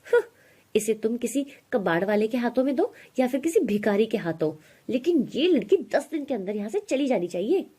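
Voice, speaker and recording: Scolding stepmother, performed by a woman narrator: middle-aged, bossy, mellow tone with a raspy edge. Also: on the high side, very animated, fairly quick. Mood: demanding. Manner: threatening, berating.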